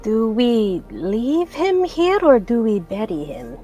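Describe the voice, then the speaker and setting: A woman's voice: a little high, wildly swooping pitch, slow pace. A woman casually describing her character's actions as a player in a tabletop roleplaying game. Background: quiet music.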